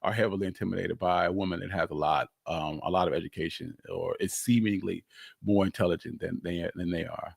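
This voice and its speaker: A man's voice: low voice, steady tone, brisk, gravelly, breathy edge, no room sound, studio quality. A man hosting a live history stream, talking casually and emphatically as he answers questions, often repeating phrases for weight.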